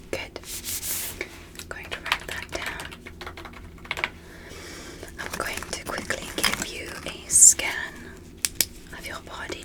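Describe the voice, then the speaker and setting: A whispered ASMR roleplay of a curious alien doctor, played by a woman. The delivery is slow, gentle and careful. The alien stays polite and clinical, examining a human with deliberate precision. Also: natural voice, poor audio.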